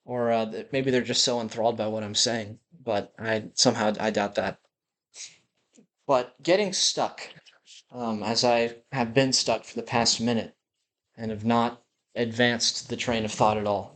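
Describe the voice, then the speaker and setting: A man with a slight lisp rambling through a personal audio journal in a musing, self-deprecating way, recorded on a built-in laptop mic while walking around a house. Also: medium pitch. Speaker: vlogger. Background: dogs' claws clicking.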